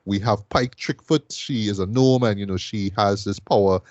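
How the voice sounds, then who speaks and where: A man's voice: lowish voice, moderate pace, a little mellow, no room sound, highs cut off. A man from Trinidad, casually reviewing a show as a podcast host, rambling with lots of fillers and "right?" tags.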